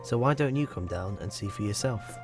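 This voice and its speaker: A man's voice: on the low side, expressive, brisk, loud music behind the voice. A man reading a scripted voice-over as a reporter for a local newsreel. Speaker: news reporter narrating voice-over.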